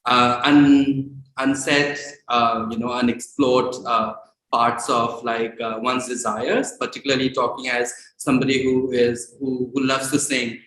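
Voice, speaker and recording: Male audience speaker, medium pitch, hesitant informal delivery, roomy echo with some noise. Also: flat delivery, unhurried, fairly bright tone. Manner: hesitant, searching for words. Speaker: audience member giving feedback. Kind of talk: informal spoken tribute. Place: indoor event space, at a laptop. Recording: echoey room, clearly noisy with clicks.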